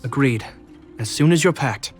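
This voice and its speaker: An actor playing a dutiful, protective young guard captain in a video game, speaking in an earnest, formal and reserved way. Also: male voice, medium pitch, animated, normal speed, lightly acted. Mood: reflective, fond. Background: music.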